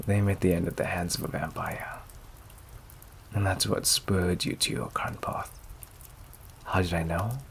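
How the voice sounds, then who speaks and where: Man voicing a very deep, gravelly, seductive vampire. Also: little pitch movement, unhurried, pauses often. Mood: mocking, tender. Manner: silky, teasing, theatrically polite, often dropping to whispers. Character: suave possessive male vampire, menacing, seductive, courteous. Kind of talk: intimate ASMR roleplay acting. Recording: clear background noise and ambience. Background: rain and fireplace ambience.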